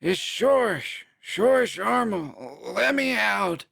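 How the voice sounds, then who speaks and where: A man acts out a dazed young man of nineteen from a horror story. The voice is pushed high, muffled and croaky. His words come slurred and drawn out, as if his mouth will not obey him. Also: big pitch swings, very slow, fairly bright tone, exaggerated voice. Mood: desperate pleading.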